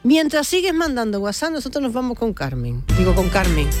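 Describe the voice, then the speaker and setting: A woman's voice: fairly high pitch, big pitch swings, a little fast, a little mellow, faint music behind. A woman hosting a radio show, chatty and playful with her guests. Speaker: radio host. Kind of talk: lively radio chat.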